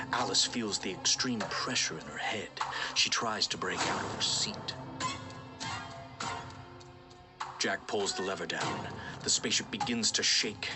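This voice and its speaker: A man's voice: deep voice, close to an everyday voice. A man playing a chatty storyteller who narrates a sci-fi radio drama with suspense and asides to the listener. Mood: suspenseful. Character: colloquial, chatty male storyteller of a sci-fi space adventure.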